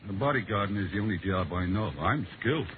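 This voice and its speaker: An actor playing a hapless, lazy, desperate would-be bodyguard in a 1950s radio drama, sheepish and pleading. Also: male voice, lowish voice, fast, lightly acted. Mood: proud, then pleading. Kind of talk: 1950s radio comic character acting. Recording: noisy, tinny 1950 radio broadcast.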